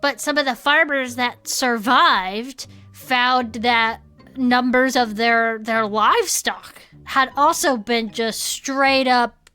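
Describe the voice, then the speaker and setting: A bright, forceful and fairly high voice playing an eager cryptid-club storyteller. The delivery is chatty and conspiratorial, with theatrical suspense, over faint music in an audio drama. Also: female voice, slow, clean tone. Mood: ominous, gossipy. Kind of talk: in-character podcast storytelling.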